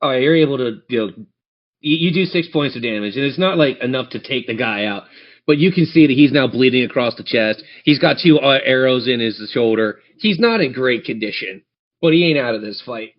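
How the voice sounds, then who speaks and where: A man's voice: mid-range voice, expressive, fairly quick, muffled recording. A man narrating a tabletop fantasy game as game master, theatrical yet conversational.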